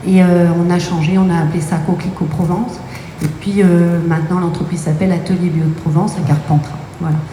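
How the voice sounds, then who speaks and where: A woman with a low, mellow voice explains her business at length, pausing often on fillers. The sound is roomy, with a long reverb and faint noise behind her. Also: fairly even intonation. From Brittany, France. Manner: explanatory, many hesitation fillers. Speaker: guest, company co-owner. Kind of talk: radio interview answers. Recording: echoey room with faint noise.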